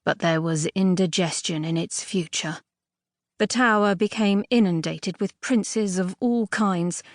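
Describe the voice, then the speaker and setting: A woman narrates a fairy tale in a very dry, close studio recording. Her voice sits at an average female pitch. She reads expressively and a touch archly, leaning on key words and pausing for drama. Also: dry recording. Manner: expressive, with dramatic emphasis and pauses. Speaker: audiobook narrator.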